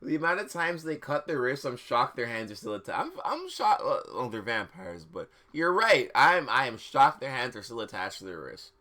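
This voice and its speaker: Bright-voiced comic livestream reader, theatrical delivery. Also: medium pitch, sing-song, normal speed, few pauses. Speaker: livestream host reading fan fiction. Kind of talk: comic dramatic reading with casual asides.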